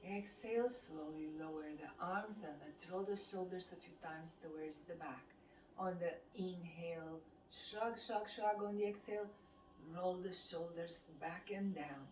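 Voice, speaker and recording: A woman with a Romanian accent leads a qigong session, giving slow, calm cues that follow the breath. Her voice sits at a middle pitch and comes from some distance off the mic, with a roomy echo around it. A faint hum of ambience sits underneath. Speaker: fitness instructor. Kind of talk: guided qigong class instruction.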